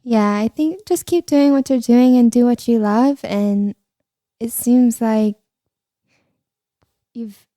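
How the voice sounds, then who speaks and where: A woman hosting a podcast, with a soft, high voice, speaking agreeably and affirming her guest. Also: slow pace, long pauses, no echo, studio quality. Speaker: podcast host. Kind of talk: casual interview chat.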